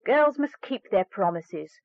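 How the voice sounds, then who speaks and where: A woman's voice: mid-range voice, animated, slow pace, no echo, narrow, tinny audio. A woman voicing an angry, scolding stepmother in a fairy tale, giving commanding orders. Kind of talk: fairy tale storybook reading.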